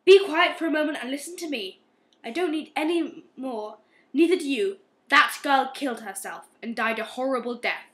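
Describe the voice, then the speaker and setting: A high, bright-voiced, relentless police inspector played by a woman in an amateur radio play. The questions are measured and morally accusing, and the room echoes around them. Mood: cool, insistent. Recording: roomy, echoing amateur recording.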